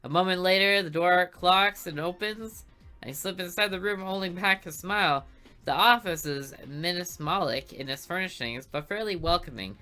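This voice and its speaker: A woman reads a visual novel's narration aloud with expressive delivery, dropping in quick asides of her own. Her voice sits a bit low and has a bright, forceful edge. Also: soft background music. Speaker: gaming video host.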